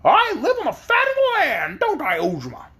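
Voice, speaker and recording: A reader voicing a pampered female hen with a sharp, clear voice and a scornful, drawn-out manner. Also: high-pitched, huge pitch swings, pitched above the performer's own voice, exaggerated voice. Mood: smug.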